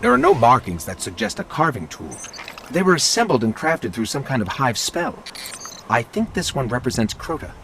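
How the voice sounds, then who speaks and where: A man's voice: mid-range voice, wildly swooping pitch, moderate pace, gravelly, noisy background. A man voicing a small, curious and wary robot companion in a video game, explaining things in an articulate, musing way. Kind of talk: video game voice acting, exploratory narration.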